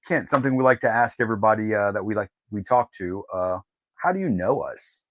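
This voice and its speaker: A man with a fairly low voice chats as a co-host. The sound is close and dry, with a casual, conversational delivery. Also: expressive, a little fast, no echo. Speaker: show co-host. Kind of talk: casual chat.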